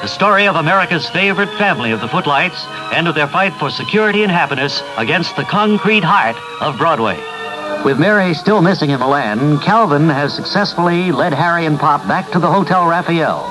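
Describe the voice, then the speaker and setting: A man's voice: fairly high pitch, lively intonation, a little fast, music in the background, poor audio. A man announcing and acting in a homemade radio soap, earnest and a bit flustered. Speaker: announcer and lead actor in a radio soap parody. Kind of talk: community radio comedy soap.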